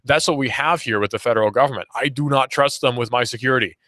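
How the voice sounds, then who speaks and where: A man's voice: medium pitch, quick pace, bright, forceful tone, no echo, studio quality. A young man in his late teens hosting a talk radio show, giving sarcastic, argumentative commentary and repeating points for emphasis. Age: young adult. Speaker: radio host. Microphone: studio microphone.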